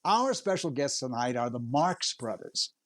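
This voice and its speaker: An actor playing an earnest, cheerful singer who hosts a 1930s radio show. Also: male voice, mid-range voice, big pitch swings, a little slow. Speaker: show host. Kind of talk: 1930s radio comedy acting.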